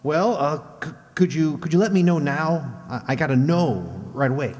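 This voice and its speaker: A man playing a nervous, halting contestant in an old-time radio game show. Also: fairly high pitch, lively intonation, darkish tone, lightly acted. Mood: nervous, pleading. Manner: hesitant, halting. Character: nervous, hesitant man pleading with a friend. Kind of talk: 1950s radio acting. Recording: reverberant hall.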